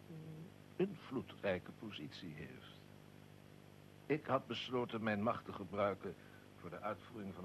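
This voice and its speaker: An actor playing a commanding Serbian colonel and secret society leader in a historical radio drama, solemn and deliberate. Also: male voice, deep voice, slight background noise, poor audio.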